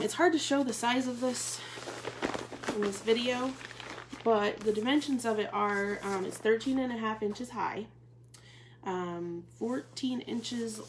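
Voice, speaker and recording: Chatty thirty-something woman doing a live bag demo at home, gravelly voice, noisy stream, bag rustling behind. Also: medium pitch. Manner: chatty, casual, frequent ums. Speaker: bag consultant demonstrating products. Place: living room at home. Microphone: phone or webcam at table distance.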